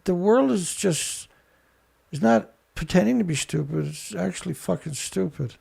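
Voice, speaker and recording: A man hosting a ranting commentary show, with a rough voice, blunt, angry and swearing. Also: high voice, animated, moderate pace, a little mellow. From Canada. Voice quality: rough, gravelly voice. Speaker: show host.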